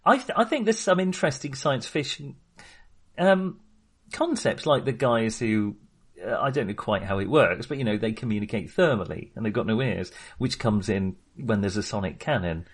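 A man co-hosting a podcast in a casual film discussion, speaking in a halting, wry, jokey manner. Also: on the low side, expressive, breathy edge. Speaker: podcast co-host.

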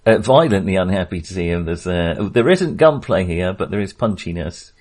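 A man's voice: low-pitched, lively intonation, moderate pace, a little mellow. A man casually discussing a film as a podcast co-host, in a hesitant, rambling way.

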